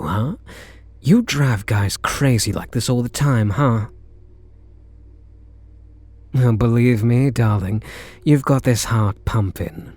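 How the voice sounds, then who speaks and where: A man's voice: medium pitch, warm tone, no echo, full character voice. A man with a British accent playing a smitten kidnapper, a sweet-talking gangster, in an intimate ASMR roleplay. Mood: smitten, conflicted. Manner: slow, hesitant sweet talk with pet names.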